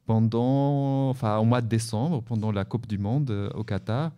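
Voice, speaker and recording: A man giving a relaxed, slightly hesitant spoken answer with fillers, during a library radio show. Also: medium pitch, measured pace, highs cut off. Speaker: book club participant.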